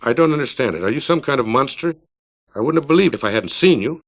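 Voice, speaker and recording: A man's voice: medium pitch, expressive, quick pace, no room sound, pitched above the performer's own voice. A man voicing a cold, severe, proud maiden lady with clipped, sure speech, in a story on a 1950s record. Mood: cold disgust. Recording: dull 1950s vinyl record.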